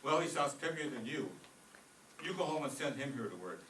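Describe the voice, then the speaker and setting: A man's voice: medium pitch, lively intonation, a little fast, a bit bright, natural voice. A man playing a scornful, wisecracking, blustery office boss in an old-time radio comedy. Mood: exasperated. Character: exasperated, sarcastic male law-office boss. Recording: roomy, distant stage recording with faint noise.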